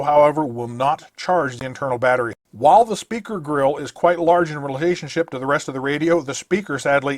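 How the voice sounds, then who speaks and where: Guy doing a gear review voice-over, kinda high voice, dead dry close mic, just walks thru the features. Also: no echo. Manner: methodical, matter-of-fact listing of features. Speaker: product reviewer. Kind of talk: scripted product review narration.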